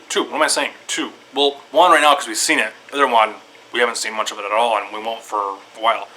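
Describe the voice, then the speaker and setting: Guy doing a casual excitable fan ramble, bright forceful voice, bit of noise behind him. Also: mid-range voice, poor audio. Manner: excitable fan talk, repetitive, loosely structured. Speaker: video creator giving opinions. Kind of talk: casual rambling commentary to viewers.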